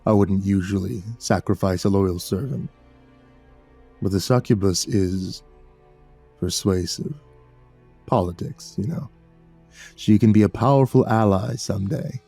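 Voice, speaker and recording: A man voicing a sulky, scornful goth demon lord giant who talks slowly in a low, rumbling voice. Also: warm tone, slightly rough, faint music behind, full character voice. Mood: smug. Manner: slow, sneering, petulant.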